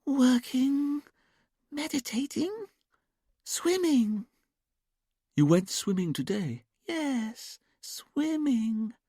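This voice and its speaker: A man voicing a young woman in a hypnotic trance, who answers in a quiet, breathy, childlike sing-song. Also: very high-pitched, very animated, very slow, pauses often, no room sound, studio quality, voice pushed up, heavily acted. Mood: dreamy, entranced.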